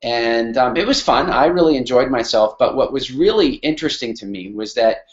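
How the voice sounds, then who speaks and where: A man's voice: mid-range voice, expressive, moderate pace, dull audio. A middle-aged Canadian man speaking casually on a webinar, hesitant, with many fillers and restarts. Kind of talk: casual spoken talk on a webinar.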